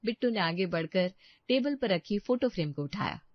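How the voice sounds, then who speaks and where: A woman's voice: medium pitch, lively intonation, moderate pace, dry recording, lo-fi sound. A woman narrating a scripted story.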